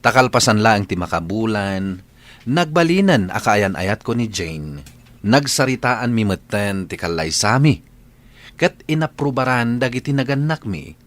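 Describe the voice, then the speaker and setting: Bashful suitor, low lively voice. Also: male voice, measured pace. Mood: earnest narrating. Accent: Ilocano. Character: bashful bachelor son, courting a young woman. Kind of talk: radio drama acting.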